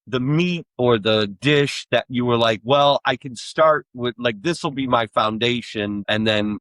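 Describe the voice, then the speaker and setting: A man's voice: mid-range voice, unhurried, fairly bright tone, no room sound. A man co-hosting a podcast, chatting and asking questions casually, with a rambling, tangential way of talking. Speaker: podcast co-host. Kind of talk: casual chat, interviewing.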